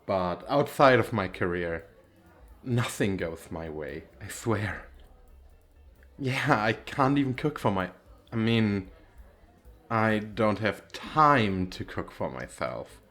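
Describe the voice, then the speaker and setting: A man's voice: fairly low pitch, lively intonation, slow, a bit bright, faint music behind. A man playing a nervous, bashful, well-off young businessman on a date in an ASMR roleplay, with hesitant, stammering speech. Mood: flustered, defensive. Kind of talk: ASMR roleplay, one-sided conversation with the listener.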